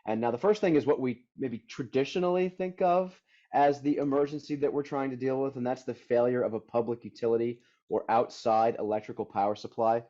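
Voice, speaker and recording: A man presenting a technical webinar, hoarse and tired, talking energetically and casually with many fillers. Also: medium pitch, fairly even intonation, fairly quick, mellow, muffled recording. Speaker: product development director presenting a webinar.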